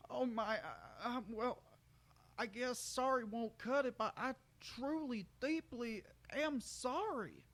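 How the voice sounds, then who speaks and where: A man's voice: extremely high pitch, slow, fairly bright tone, voice pushed up, exaggerated voice. A man voicing a confused, kindly, innocent cartoon pony with folksy country talk and a stammering manner. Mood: shocked, apologetic.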